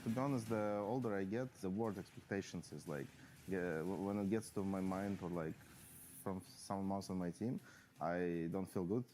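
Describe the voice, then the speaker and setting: Deep, halting esports player. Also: male voice, music in the background. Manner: halting, many fillers. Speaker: pro player interviewed. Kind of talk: post-match player interview.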